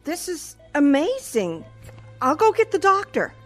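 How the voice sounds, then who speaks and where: A woman's voice: sits high, wildly swooping pitch, unhurried, a bit bright, soft background music, exaggerated voice. An actress playing a chatty, self-satisfied female retirement home caregiver who flusters easily, in an audio drama. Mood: amazed. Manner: chatty, rambling, hesitant when flustered.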